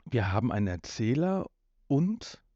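Mellow-voiced man chatting in a roomy space. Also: mid-range voice, sing-song, measured pace. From North Rhine-Westphalia, Germany. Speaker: podcast host. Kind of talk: casual podcast chat. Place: room in a family home. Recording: dull, roomy home recording.

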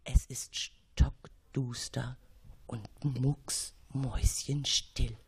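A woman's voice: very deep voice, steady tone, extremely slow, husky. A woman narrating a children's audio story dramatically and suspensefully, sometimes dropping toward a whisper. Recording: music behind the voice.

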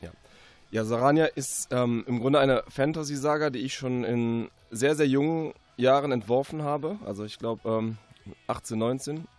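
A man speaking as an author in a radio interview, conversational and reflective, often pausing as he forms his sentences. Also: medium pitch, a little mellow, dry recording, soft background music.

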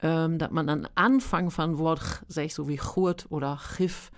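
A woman with an Emsland Low German accent, chatting as a guest in a radio studio interview, laughing easily and filling pauses. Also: steady tone. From Emsland, near Papenburg. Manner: chatty, laughs often, many fillers. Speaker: guest, amateur stage actress and singer.

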